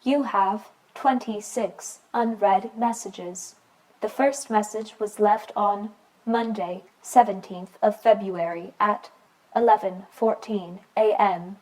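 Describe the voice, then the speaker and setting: A woman's voice: medium pitch, lightly acted, rough recording. A woman voicing an impersonal answering machine, making formal automated voicemail announcements. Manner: flat, measured, formal.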